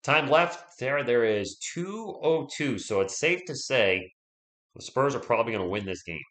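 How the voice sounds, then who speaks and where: Hoarse fan calling a game, echoey. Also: male voice, mid-range voice, lively intonation, moderate pace, fairly bright tone. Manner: chatty, reads viewer comments aloud, rooting for the Spurs. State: losing his voice. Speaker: live stream play-by-play host. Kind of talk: casual fan play-by-play commentary.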